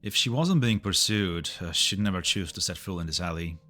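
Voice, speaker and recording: A man's voice: sits low, fairly quick, sharp tone, soft background music. A man playing an earnest, analytical tech specialist in a game fan dub, protective and precise as he explains. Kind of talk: scripted anime game dub acting.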